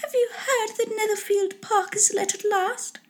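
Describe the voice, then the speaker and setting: A woman voicing a fussy, excitable middle-aged wife and mother in a storybook reading, gushing, pleading and impatient. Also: extremely high pitch, moderate pace, pitched above the performer's own voice. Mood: excited, impatient. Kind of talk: storybook character voice in an audiobook reading.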